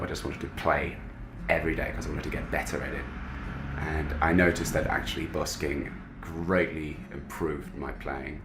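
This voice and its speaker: A man in his twenties from Germany speaks in a very low, creaky voice. He reflects on life as a street musician, as if in a documentary interview. Light music plays underneath. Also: echoey room. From Germany, moved to England at fifteen. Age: 20s. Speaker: busker, professional musician.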